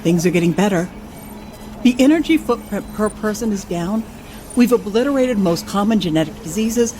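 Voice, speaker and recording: An actress playing a sceptical, level-headed colleague in a science fiction audio drama, speaking in a measured, dry, challenging way. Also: female voice, mid-range voice, lively intonation, warm tone, slight background noise, close to an everyday voice. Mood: earnest, hopeful.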